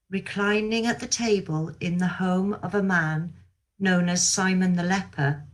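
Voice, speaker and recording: A woman giving a scripted Bible reading as a scripture reader over a video call. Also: medium pitch.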